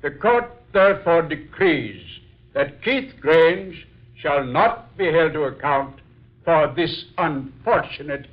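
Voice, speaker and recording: An actor playing a judge formally reading a verdict in an old-time radio drama. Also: male voice, a little high, lively intonation. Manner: measured, formal courtroom delivery. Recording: dull lo-fi old radio recording.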